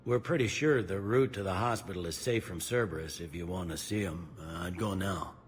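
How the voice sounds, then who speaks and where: An actor playing a gruff, plain-spoken middle-aged police commander in a video game cutscene. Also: male voice, low voice, brisk, a little hiss or hum, lightly acted. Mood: grim, matter-of-fact. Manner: blunt, colloquial, no-nonsense.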